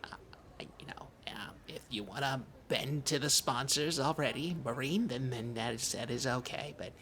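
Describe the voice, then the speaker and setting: A performer playing a needy, wounded, childlike podcast host, talking in a halting, earnest, hesitant way in improvised comedy. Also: mid-range voice, a bit bright, lightly acted. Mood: flustered. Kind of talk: improvised deadpan comedy talk. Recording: noticeable background noise, lobby ambience.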